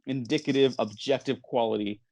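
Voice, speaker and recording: A man hosts a movie podcast in a close, dry recording with an ordinary mid-range pitch. He is chatty and enthusiastic, rambling through his thoughts in an informal way. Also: fairly even intonation, brisk, a little mellow, no room sound. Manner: chatty, rambling, enthusiastic, chuckles while talking. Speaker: podcast host. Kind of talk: casual movie podcast chat.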